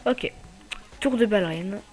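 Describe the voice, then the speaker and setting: A man's voice: high-pitched, soft background music. Hesitant male gamer with a cold. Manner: hesitant, many filler pauses. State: slightly stuffy with a cold. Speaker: gaming channel host. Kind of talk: casual gameplay commentary.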